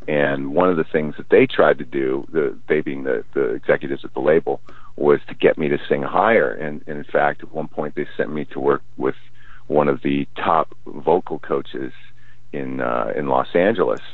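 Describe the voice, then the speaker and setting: A man who is a musician, talking in a relaxed, thoughtful way and often restarting his sentences in a casual interview. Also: extremely low pitch, normal speed, dry recording. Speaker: musician guest. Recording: tinny phone line, dry.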